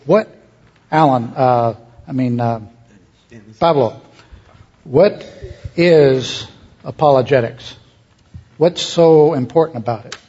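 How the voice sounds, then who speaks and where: A man's voice: mid-range voice, slow pace, long pauses, dark tone, breathy edge. A man hosting a live panel, introducing and questioning guests informally. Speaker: panel host. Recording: slightly dull, muffled live recording.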